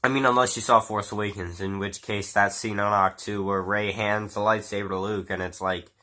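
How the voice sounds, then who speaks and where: Man with a cold reviewing a film: stuffy, bright-edged voice, slightly low pitch, rambling and loose. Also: little pitch movement, a little fast. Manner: rambling, swears casually, many fillers and restarts. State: stuffy nose, sick. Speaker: movie reviewer. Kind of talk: casual spoken film review.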